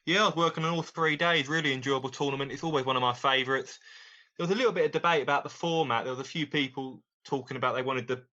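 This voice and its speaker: A man co-hosting a podcast, talking brisk and informative about the sport season. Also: mid-range voice, pushed and bright, highs cut off. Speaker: darts commentator and co-host. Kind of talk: casual chat.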